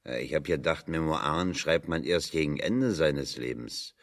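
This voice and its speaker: A man's voice: rumbling low, lively intonation, moderate pace. An actor playing a down-to-earth working-class man in a German radio drama, dry, plain-spoken and slyly needling. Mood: dryly needling.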